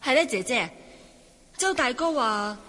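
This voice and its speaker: An actress with a Cantonese accent playing a gentle, guarded young swordswoman who passes as a man, politely spoken, in a radio drama. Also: a little high, animated, unhurried, exaggerated voice. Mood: polite, placating. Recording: reverberant hall, music behind.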